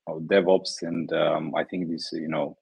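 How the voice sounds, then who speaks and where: A man's voice: extremely low pitch, flat delivery, moderate pace, vocal fry, darkish tone, breathy edge. A man from Romania introducing himself as a consultant, hesitating with many ums and restarts.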